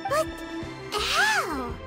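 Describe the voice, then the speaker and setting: Very high, squeaky little childlike companion. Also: female voice, wildly swooping pitch, extremely slow, over loud music, exaggerated voice. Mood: puzzled, curious. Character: tiny childlike female companion creature, excitable and nosy. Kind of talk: video game voice acting.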